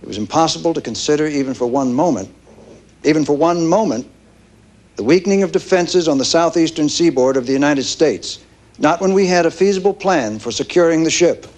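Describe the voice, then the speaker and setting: A man's voice: a little high, animated, fairly quick, a little mellow. A man playing a stern government official, formally and insistently justifying a decision. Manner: formal, emphatic repetition. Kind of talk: official statement.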